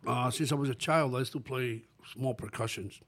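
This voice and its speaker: A man's voice: medium pitch, normal speed. Older dominican-born guy, chill short answers, interview vibe. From Dominican Republic. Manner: relaxed, plain-spoken, short answers. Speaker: guest musician. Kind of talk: casual interview.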